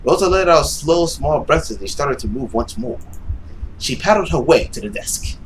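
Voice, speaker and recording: A man's voice: fairly high pitch, animated, normal speed, a little hiss or hum, poor audio. A young man reading a visual novel aloud as dramatic narration, sometimes tripping over words and rereading them. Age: young adult. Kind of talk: dramatic read-aloud of game text.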